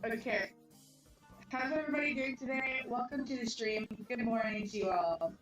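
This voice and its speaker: A woman's voice: fairly high pitch, normal speed, dull audio, music in the background. A woman hosting a casual game livestream, chatting informally and speaking straight to her viewers. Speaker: game streamer, host. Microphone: headset.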